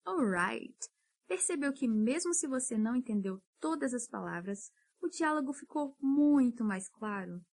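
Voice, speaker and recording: Woman teacher, encouraging, slow drills, lively pitch. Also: a little hiss or hum. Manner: patient, encouraging, drills words slowly. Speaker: English teacher. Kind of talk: podcast pronunciation lesson.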